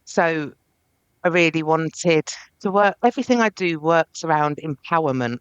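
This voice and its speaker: A woman's voice: sits low, moderate pace, smooth, no room sound, lo-fi sound. A woman therapist speaking earnestly and reflectively as a podcast guest. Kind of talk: podcast interview.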